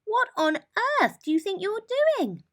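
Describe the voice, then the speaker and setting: A woman voicing a brisk, prim female rabbit with a scolding manner in a children's storybook. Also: very high-pitched, big pitch swings, voice pushed up, heavily acted. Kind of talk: children's storybook character reading.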